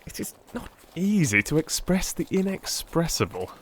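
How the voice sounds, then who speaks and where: Man acting a young Victorian gentleman, gossipy, hesitant, emphatic, very lively pitch, storybook dialogue. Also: moderate pace, slight background noise, low-quality recording. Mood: uneasy, confiding. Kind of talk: dramatised Victorian audiobook dialogue.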